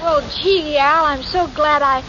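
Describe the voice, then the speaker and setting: A woman's voice: high voice, a little slow, a loud crowd. An actress playing a dim, sweet young woman with a girlish, naive, plaintive manner in 1940s radio comedy. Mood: earnest, confused. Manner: naive, literal-minded, plaintive. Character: dim, sweet, muddle-headed young woman, eager to please. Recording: dull, very noisy 1940s radio recording.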